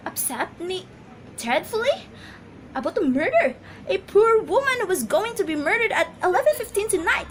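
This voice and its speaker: A woman's voice: high voice, a bit bright. A woman playing a nervous, demanding invalid wife in an amateur radio drama, insistent and agitated.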